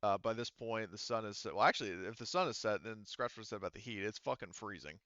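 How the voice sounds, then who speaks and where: Halting male game master, dull close mic. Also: lowish voice, fairly bright tone, dry recording. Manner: halting, hesitant, often trailing off and restarting. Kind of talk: narrating and refereeing a tabletop roleplaying game.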